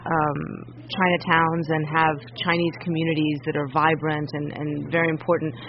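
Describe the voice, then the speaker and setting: Measured male radio reporter, fairly high voice. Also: flat delivery. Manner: measured, composed questioning. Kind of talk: radio feature narration and interview questions. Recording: muffled radio audio, faint hiss.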